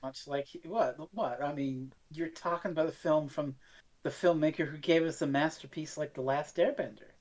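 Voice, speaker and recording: A woman's voice: low voice, normal speed, a little mellow. A woman co-hosting a casual review podcast with blunt, sweary, sarcastic banter. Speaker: podcast co-host.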